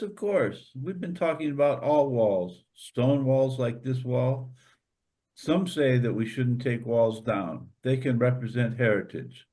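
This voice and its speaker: A blunt, eager schoolboy in a stage play a man acts him out. He speaks casually and frankly, at an ordinary male pitch. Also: darkish tone, close to an everyday voice. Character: schoolboy son, frank, eager, fed up with walls. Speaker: actor in a staged reader's theater.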